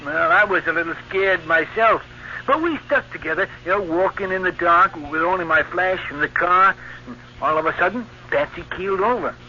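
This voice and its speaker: An actor playing a short, shifty small-time gangster with slangy, uneducated speech in an old radio crime drama. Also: male voice, sits high, brisk, sharp tone, highs cut off, slight background noise. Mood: shifty, explaining. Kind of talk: 1940s radio drama acting.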